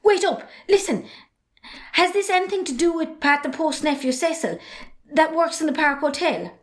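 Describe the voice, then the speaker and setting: A woman's voice: sits high, expressive, normal speed. A woman playing a defiant, sardonic young farmer's daughter with an Irish accent in an amateur drama. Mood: prying, concerned. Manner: sardonic, outspoken.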